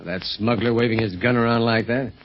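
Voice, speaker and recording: A man's voice: medium pitch, fairly even intonation, a little fast, close to an everyday voice. Agent uncle , male actor: mid-range voice, sensible, protective, chiding; vintage radio drama with hiss. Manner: chiding, matter-of-fact, protective. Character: level-headed secret agent uncle, protective leader. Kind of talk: 1930s radio serial acting. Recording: muffled, noisy 1930s radio recording.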